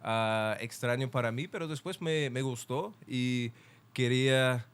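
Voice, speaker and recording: Podcast guest: young Ukrainian-accented man, smooth resonant tone, halting, many restarts. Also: medium pitch, a bit bright. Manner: laughs often. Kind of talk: casual interview.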